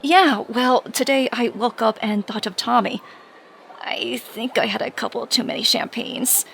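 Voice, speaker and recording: A woman's voice: on the high side, steady tone, a little slow, gravelly, fairly bright tone, slight background noise. An actress playing a confiding young female superhero in a conversational audio drama. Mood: regretful.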